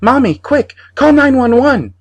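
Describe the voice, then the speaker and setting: Curious, innocent boy of six, voiced by a male narrator, pitched high, earnest and polite, for an audiobook. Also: sing-song, unhurried, slightly rough, exaggerated voice. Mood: urgent.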